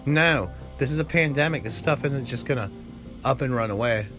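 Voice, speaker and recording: An American man hosts a solo podcast at a close mic, his pitch sitting in the middle range. He rambles anxiously and keeps stressing his points with repeated words. Faint music plays quietly behind him. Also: moderate pace. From United States. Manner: worried, rambling, repeats "period" for emphasis. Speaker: podcast host.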